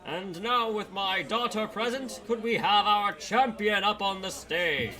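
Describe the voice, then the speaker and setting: A man's voice: sits high, measured pace, sharp tone. An actor playing a haughty, angry king and stern father in a comedic fantasy audio drama, pompous and commanding.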